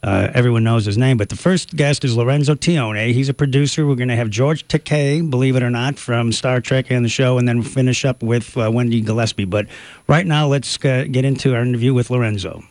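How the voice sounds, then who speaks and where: A man's voice: mid-range voice, fairly quick, a little mellow, no echo. A man hosting a live radio talk show from a studio, with a mid-range voice. He announces and interviews in an upbeat way, and the booth mic sounds close and dry. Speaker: radio co-host and producer. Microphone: studio microphone.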